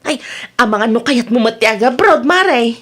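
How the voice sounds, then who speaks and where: A woman's voice: a little high, expressive, normal speed. A woman with an Ilocano accent playing a cheerful, gossipy village woman in a radio comedy drama.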